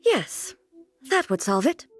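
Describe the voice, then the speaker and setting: Regal, sheltered female sovereign for a video game: fairly high voice, formal, measured, utterly earnest. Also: sing-song, over loud music. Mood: earnest puzzlement. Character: dignified, sheltered female ruler, earnest and literal-minded. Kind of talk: video game character acting.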